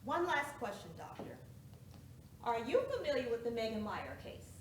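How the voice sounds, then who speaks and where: A woman's voice: fairly high pitch, sing-song, moderate pace. A woman playing a formal lawyer in a courtroom stage drama, putting probing questions to a witness. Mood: pointed, probing. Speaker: actor in a one-act play. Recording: echoey room, distant mic, noisy.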